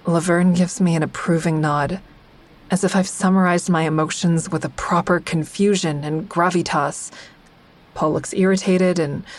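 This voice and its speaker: A woman playing an introspective therapy-group member who narrates her own story in a confiding, reflective way for an audio drama. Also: fairly low pitch. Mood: observant. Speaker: narrator and lead actor.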